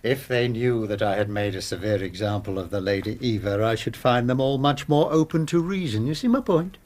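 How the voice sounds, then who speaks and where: An English actor playing a smug, middle-aged master blackmailer in a radio drama, with pompous, mock-polite regret. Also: male voice, medium pitch, brisk, a little mellow, close to an everyday voice. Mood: mock regret, menacing. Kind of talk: British radio drama acting.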